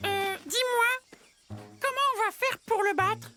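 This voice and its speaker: An actor voicing a tiny, worried, excitable animal sidekick in a children's cartoon. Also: extremely high pitch, slow pace, over music. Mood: anxious. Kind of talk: animated series dubbing.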